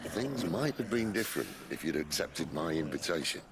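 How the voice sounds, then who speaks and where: A gruff rebel leader in a game cutscene, with a low, gravelly voice. He is wry and self-assured, his lines moving freely up and down. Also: very animated, normal speed, heavy background noise. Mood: wry, companionable. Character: gruff, wry rebel leader, weathered former knight commander. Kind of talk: video game cutscene acting.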